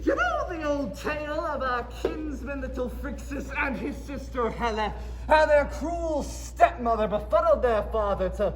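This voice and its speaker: An old king in a stage drama, falsely doting and full of scheming. The voice is high and slow, drawn out with melodrama. It rings in an echoey hall. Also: sing-song, noisy background. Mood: grandly persuasive. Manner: melodramatic, drawn-out, mock-frail. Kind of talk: theatrical stage acting. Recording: echoey stage play recording.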